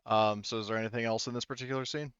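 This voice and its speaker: Smooth-voiced man running a tabletop game. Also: medium pitch, fairly even intonation, very fast, a bit bright, no echo, muffled recording. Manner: informal, improvised, hesitant phrasing. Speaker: game master. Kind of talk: casual tabletop roleplaying game narration.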